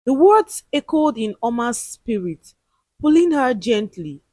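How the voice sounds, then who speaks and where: A woman storyteller with a fairly high voice that moves with great expression. She delivers a dramatic scripted tale with suspense and emotion, in a very dry recording. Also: very animated, unhurried, darkish tone, slightly rough, no echo.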